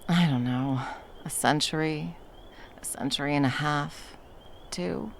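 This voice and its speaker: A woman's voice: low-pitched, unhurried, gravelly, slight background noise. A woman voicing a centuries-old female monster hunter in an ASMR roleplay. She talks bluntly and swears a lot, then drops into tender murmurs. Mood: soft, tender.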